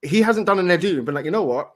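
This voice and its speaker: A man's voice: high-pitched, lively intonation, racing. A man from Morocco arguing his point emphatically in a heated football debate. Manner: emphatic, argumentative. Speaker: panelist.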